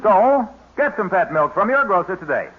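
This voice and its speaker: An actor playing a jovial announcer friend who ribs the host and slips in sponsor plugs, in a 1950s radio comedy. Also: male voice, very animated, moderate pace. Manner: genial pitchman, ribbing jokes. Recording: hissy lo-fi old radio broadcast.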